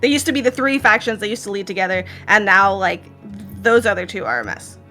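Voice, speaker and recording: A woman's voice: mid-range voice, expressive, a little fast, sharp tone, soft background music. A woman with an Israeli accent, narrating a tabletop game as game master in a casual, laughing way. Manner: laughs often, casual with fillers.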